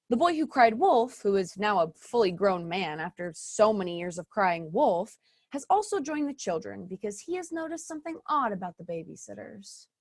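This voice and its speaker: A woman's voice: on the high side, no room sound, clean studio sound. A woman narrating a comic fairy-tale radio play with a wry manner. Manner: wry, storytelling.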